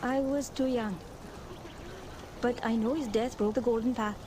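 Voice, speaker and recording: A woman's voice: a little high, steady tone, unhurried, noisy background, lightly acted. A woman playing an earnest young rebel leader. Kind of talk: video game acting.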